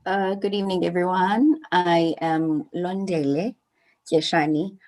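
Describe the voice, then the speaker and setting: A woman from South Africa joins an online panel, talking in a low, mellow voice. She is earnest and thoughtful, stumbling over repeated words as she works out her ideas. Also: fairly even intonation, measured pace. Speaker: panel guest. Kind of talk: casual online panel chat.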